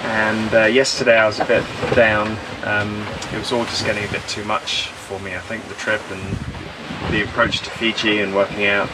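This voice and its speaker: A man in his fifties giving a candid, relaxed update to a camera held at arm's length on a sailboat. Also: on the low side, normal speed. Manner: relaxed, candid, laid-back. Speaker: sailing vlogger. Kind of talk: casual chat on camera. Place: outdoors on a sailboat cockpit. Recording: loud wind noise. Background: loud wind.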